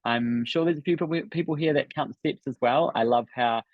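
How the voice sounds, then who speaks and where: A man's voice: medium pitch, fairly quick, no room sound, narrow, tinny audio. A man with a New Zealand accent, hosting a live online coaching summit in an upbeat, encouraging, chatty way. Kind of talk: live webinar talk to an audience. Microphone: video call.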